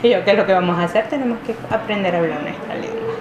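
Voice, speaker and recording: A woman's voice: mid-range voice, very fast. Hesitant woman in an echoey room. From Venezuela. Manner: hesitant, many fillers and restarts. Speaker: interviewee. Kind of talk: reflective interview answers. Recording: echoey room with clear background noise.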